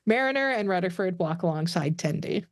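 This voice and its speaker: A woman's voice: lowish voice, lively intonation, brisk, no echo, studio quality. A woman narrator reading scripted stage directions for a podcast table read.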